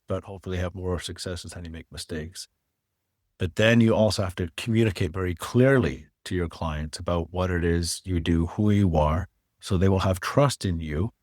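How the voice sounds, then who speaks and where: A man's voice: low-pitched, dry recording, studio quality. A middle-aged man raised in Canada, a former fund manager and author, talking plainly as a podcast guest. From born in Wales, raised in Canada. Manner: plain-spoken, explains step by step.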